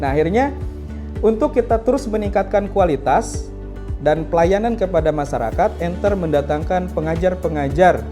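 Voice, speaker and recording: A woman's voice: on the low side, normal speed, music in the background. A woman speaking in a casual, explanatory way as a school representative in a promotional interview. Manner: casual, explanatory, frequent "nah" and "gitu kan".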